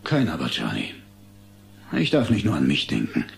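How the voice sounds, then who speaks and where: A deep, gravelly, gentle dad. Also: male voice, huge pitch swings, moderate pace, full character voice. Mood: earnest, hesitant. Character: loving, earnest father turned Santa Claus, gently joking. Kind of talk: film dub acting in an audio play.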